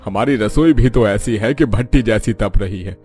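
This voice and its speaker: A man playing a gentle, weary older village father-in-law in a cartoon, fatherly and homely. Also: on the low side, moderate pace, soft background music, close to an everyday voice. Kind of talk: Hindi cartoon story dubbing.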